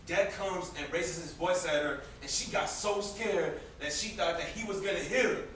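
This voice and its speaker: A man acts out a bitter young brother in an amateur stage drama, with a high voice and biting sarcasm. He sounds distant in a heavily reverberant room, with faint noise behind him. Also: moderate pace, a bit bright. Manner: sarcastic, confrontational. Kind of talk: amateur stage play acting. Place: indoor theatre stage. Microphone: distant camera microphone.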